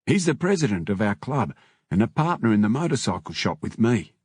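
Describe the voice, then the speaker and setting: A man voicing a matey, blunt male biker in an audiobook, talking in rough slang. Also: on the low side, big pitch swings, brisk, darkish tone, no room sound, clean studio sound. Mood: guarded, casual. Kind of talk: audiobook character dialogue.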